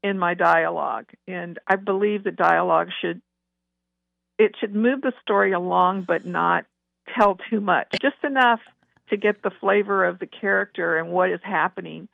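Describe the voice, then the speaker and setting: A woman's voice: on the low side, normal speed, gravelly, no room sound. A middle-aged woman, chatting in anecdotes as a guest novelist in an interview, heard over a narrow phone-like line. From Texas. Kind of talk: conversational interview answers.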